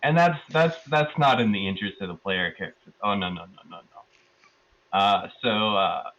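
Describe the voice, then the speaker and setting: A man's voice: fairly low pitch, normal speed, fairly bright tone, dull audio. A man giving a casual, rambling and amused recap of a tabletop roleplaying session as one of the players.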